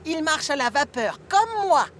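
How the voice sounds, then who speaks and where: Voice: high-pitched, very animated, measured pace, a bit bright, slight background noise, heavily acted. An actor voicing a friendly, reassuring male steam locomotive in a French children's cartoon dub, cheerful and explanatory.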